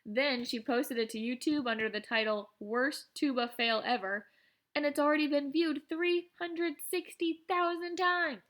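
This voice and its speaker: A woman reading aloud as an excitable, boastful, self-deprecating teenage schoolboy who tells his story in an animated, wry, conversational way. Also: high voice, unhurried. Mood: amused, excited. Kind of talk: first-person audiobook narration.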